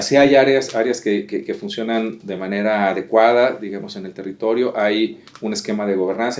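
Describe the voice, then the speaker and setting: A man's voice: medium pitch, a little mellow. A man interviewing a guest, summarizing in formal academic phrasing with many hesitations and fillers.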